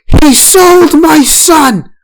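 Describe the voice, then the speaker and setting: A young wife worn down by grief finally snaps, shouting her defiance in a voice pushed very high, done by a male narrator. Her anger is raw and unrestrained, and she sounds loud and strained. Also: drawn-out words, no room sound, exaggerated voice, poor audio. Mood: furious.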